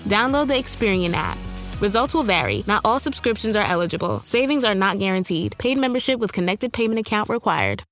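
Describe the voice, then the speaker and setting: A woman giving a brisk, polished scripted ad read. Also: mid-range voice, animated, music in the background. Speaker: ad announcer.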